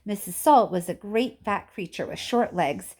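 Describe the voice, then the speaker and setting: Animated woman reading a children's story. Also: medium pitch, normal speed. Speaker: story reader, teacher. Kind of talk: expressive children's audiobook narration.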